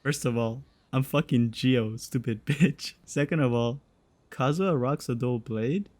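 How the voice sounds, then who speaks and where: A man's voice: mid-range voice, measured pace, a little mellow. A young man giving casual, slangy, jokey commentary as he plays a game, swearing freely. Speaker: game streamer.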